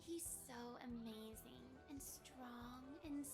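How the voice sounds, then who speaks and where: High-voiced lovestruck schemer. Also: female voice, takes it slowly, over music. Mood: lovestruck, dreamy. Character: lovestruck, scheming female character, dreamy and sinister. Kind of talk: animated game cutscene monologue.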